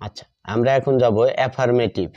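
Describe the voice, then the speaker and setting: A man with a Bengali accent teaching a grammar lesson step by step, dictating in short, halting pieces and repeating himself. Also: mid-range voice, fairly even intonation, a little mellow. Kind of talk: step-by-step lesson, explaining while writing. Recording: dull, muffled lo-fi audio.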